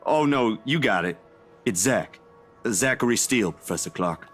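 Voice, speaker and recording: A man voicing a defensive, quick-tempered young man who talks back indignantly, swears and uses casual slang. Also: on the low side, animated, fairly bright tone, faint music behind. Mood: sheepish.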